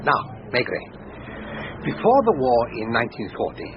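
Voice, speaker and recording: An actor playing a courteous middle-aged diamond merchant in a radio drama, speaking deliberately. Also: male voice, medium pitch, very animated. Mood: courteous, friendly. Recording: dull, noisy radio drama recording.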